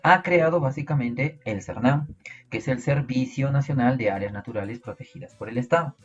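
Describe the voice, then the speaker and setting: A man's voice: medium pitch, normal speed, slightly rough, lo-fi sound, soft background music. A man with a Peruvian Spanish accent teaching an online biology class, explaining step by step and asking his students rhetorical questions. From Peru.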